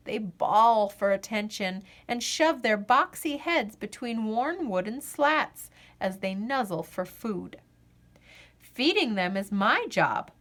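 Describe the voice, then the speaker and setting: A woman reading a children's picture book aloud as a storyteller, with expressive, deliberate phrasing and drawn-out refrains. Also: mid-range voice.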